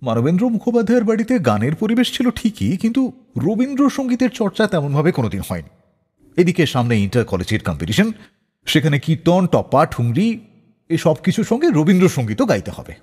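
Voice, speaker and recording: A man hosting a radio show, telling anecdotes in a dramatic, expressive scripted style. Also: on the high side, a little fast, breathy edge. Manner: expressive, acts out quoted dialogue. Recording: long reverb on the voice.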